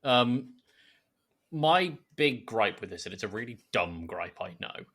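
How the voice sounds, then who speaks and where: A man co-hosting a podcast, casually bantering with blunt, jokey, animated complaints. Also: fairly low pitch, a little slow. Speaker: podcast co-host.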